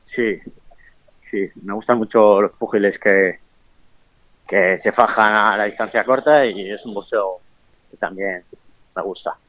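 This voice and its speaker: Young guy with a bilbao accent, kinda shy, lowish voice, dull dry audio. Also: steady tone, a little slow, pauses often, fairly bright tone, dry recording. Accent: Bilbao Spanish. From Colombia. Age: 20s. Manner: shy, short plain answers. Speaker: professional boxer. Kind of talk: interview answers.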